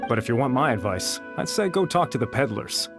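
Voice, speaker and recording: An actor playing a principled researcher who speaks in a dry, matter-of-fact way. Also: male voice, mid-range voice, big pitch swings, fairly quick, vocal fry. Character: principled, aloof male researcher. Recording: game dialogue over music.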